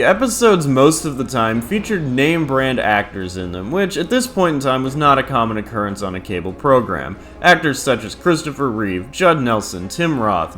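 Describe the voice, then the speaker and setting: A man's voice: mid-range voice, fairly bright tone. A man in his thirties narrating a scripted countdown review in a crude, profane, opinionated way. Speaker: video host and reviewer.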